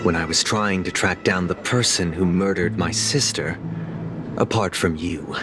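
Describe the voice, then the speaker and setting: An actor playing a composed young family head in a game cutscene, speaking in a measured, formal way. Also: male voice, deep voice. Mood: resolute. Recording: studio voice over loud music.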